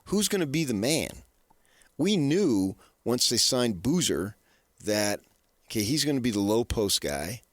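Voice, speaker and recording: A man's voice: mid-range voice, big pitch swings, a little slow, no room sound, studio quality. A man hosting sports radio in an unscripted style, often pushing his points with repeated rhetorical questions. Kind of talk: unscripted sports talk commentary.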